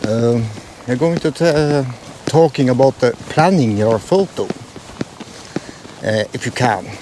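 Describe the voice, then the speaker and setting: A man's voice: medium pitch, background noise. Norwegian-accented man, hesitant vlogger. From Norway. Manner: hesitant, many fillers and restarts. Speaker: photography vlogger. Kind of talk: casual explanatory vlog.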